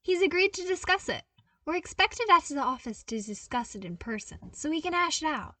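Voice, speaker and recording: A woman's voice: high-pitched, animated, normal speed, pushed and bright, no room sound, distant mic, voice pushed up. A woman voicing an eager, cheeky young male engineer with a casual, upbeat, confident manner in a fan fiction audiobook. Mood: bright, excited.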